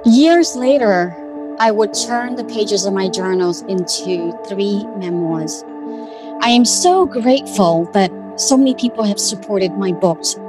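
A woman from Cambodia tells her personal story in a medium-pitched voice. Music is clearly heard behind her. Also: unhurried, rough recording. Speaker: author.

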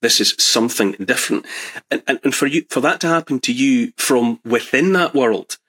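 A man hosts the interview with a husky, forceful voice, working his way through long questions. He stops and restarts often, circling toward the point he wants to make. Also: medium pitch. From Scotland. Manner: searching, halting, rephrases as he goes. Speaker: host.

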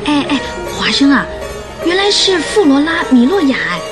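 An actress playing a curious, chatty, teasing wife in a radio detective drama, exclamatory and inquisitive. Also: female voice, high voice, sing-song, moderate pace, over music. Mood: excited, teasing.

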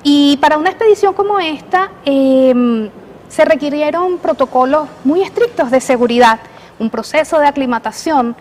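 A woman's voice: sits high, lively intonation, normal speed, a little mellow. A woman hosting a radio interview, hesitant, with frequent filler sounds. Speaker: radio show host and astronomer.

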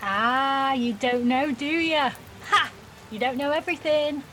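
A woman's voice: high voice, unhurried, noisy background. An actress playing a defiant, cheeky nineteen-year-old working-class woman in a naturalistic audio drama, blunt, teasing and slangy. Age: young adult.

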